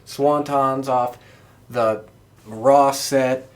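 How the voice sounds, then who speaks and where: A man hosting a podcast in casual conversation, with a rambling, halting delivery. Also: medium pitch, steady tone. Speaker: podcast host. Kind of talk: casual chat.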